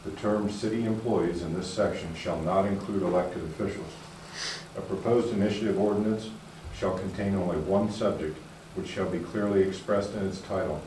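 A man on a commission reading a legal text aloud in a steady, formal way in a large meeting room. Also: deep voice, moderate pace. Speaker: commission member. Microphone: far camera. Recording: very echoey, distant room sound with noise.

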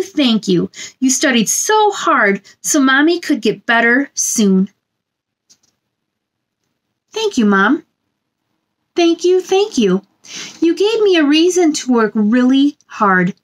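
A woman storytime host with a high voice that swoops up and down. She speaks in a gentle sing-song way, as if to young children, moving between picture-book reading and chatty asides. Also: big pitch swings, slow. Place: small indoor room.

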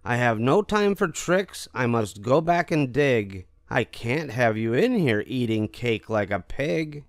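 A man's voice: medium pitch, animated, a little slow, no room sound, studio quality. An older man voicing an indignant, bossy young boy in a children's storybook, scolding emphatically.

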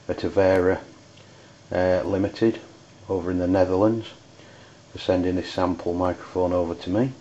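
An Englishman talks through an unscripted product review in a low, flat voice. His delivery is hesitant and halting. The recording is dull and lo-fi. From United Kingdom. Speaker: amateur radio reviewer. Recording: dull lo-fi audio with background noise.

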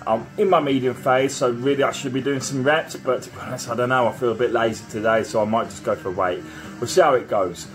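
A man in his thirties chats straight into a phone camera held close, rambling and sure of himself at a mid-range pitch. He is in a small garage gym, and music plays clearly behind him. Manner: chatty, rambling, self-assured. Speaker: vlogger lifting in his home gym. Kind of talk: casual talk to camera.

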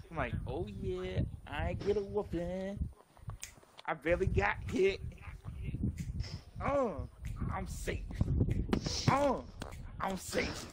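A man casually telling stories over game chat, swearing and using slang as he acts out the dialogue. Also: high-pitched. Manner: animated, profane, slangy, acts out quoted dialogue. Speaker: gaming channel player telling childhood stories. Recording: noisy game voice chat with clicks.

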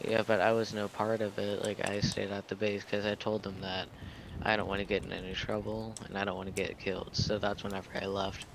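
A man's voice: on the low side, level tone, a little fast, creaky. A man speaking casually in roleplay over online game voice chat. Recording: game voice chat, noisy background.

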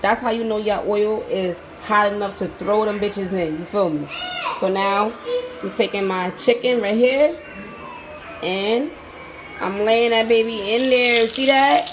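A young woman filming a cooking video on her phone in her home kitchen, chatting to viewers in a relaxed, slangy way. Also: fairly high pitch. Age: young adult. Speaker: cooking vlogger. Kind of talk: casual cooking demo. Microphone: handheld phone camera. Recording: sizzling pan noise behind. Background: oil frying and sizzling.

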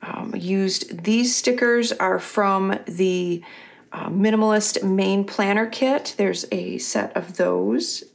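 A middle-aged woman, a little husky and losing her voice, chatting casually as she walks through her planner pages. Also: mid-range voice, slow. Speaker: craft company host. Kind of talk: casual craft tutorial voice-over.

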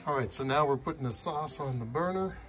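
Older guy casually walking through a recipe at home, lots of uh's, music under him. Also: fairly high pitch. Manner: relaxed, with frequent "uh" pauses. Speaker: cooking show host. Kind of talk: casual cooking demonstration. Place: home kitchen, then outdoor backyard grill.

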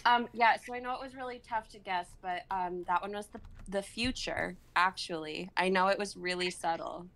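A woman's voice: mid-range voice, fairly even intonation, normal speed, a bit bright. A woman in her twenties chatting casually with friends on a group video call. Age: 20s. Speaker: writer and reader on a live script-reading stream.

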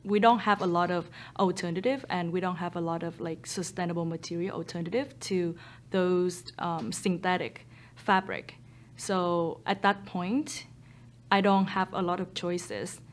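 A woman in her thirties from Vietnam with a Vietnamese accent, speaking English as a second language in an earnest, steady manner with frequent ums. She is a startup founder seated for an interview in a studio, recorded on a boom mic. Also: measured pace, a little hiss or hum. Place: photo studio with a blue backdrop, indoors. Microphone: boom mic overhead.

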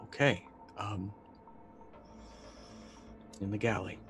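A man's voice: on the low side, fairly even intonation, takes it slowly, fairly bright tone. A middle-aged man playing a tabletop roleplaying game, casually improvising what his character does, hesitant and muttering as he thinks. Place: indoor room, online video call. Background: faint music.

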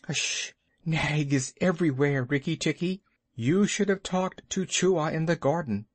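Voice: medium pitch, normal speed, no echo, voice pushed up, close to an everyday voice. A storyteller voicing a timid, broken-hearted male muskrat who whimpers and pleads tearfully. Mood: fearful.

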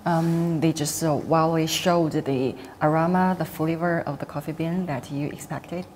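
A woman's voice: deep voice, fairly even intonation, measured pace, a little mellow, slight background noise. A middle-aged woman hosting a panel interview in simple, non-native English phrasing. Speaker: host. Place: large indoor cafe-like room.

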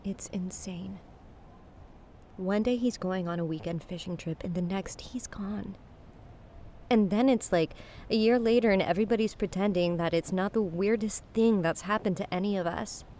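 A woman's voice: mid-range voice, normal speed, dark tone, a little hiss or hum, lightly acted. An actress playing an aggrieved young woman talking casually with a close friend in a naturalistic audio drama. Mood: upset. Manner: frustrated, casual.

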